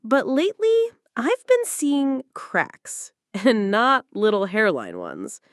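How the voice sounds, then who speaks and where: A female narrator reads a personal story dramatically, with very animated intonation. Her delivery is conversational and confiding, as though sharing something privately. The recording is close and dry, with hardly any room sound. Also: on the high side, slow pace, no room sound.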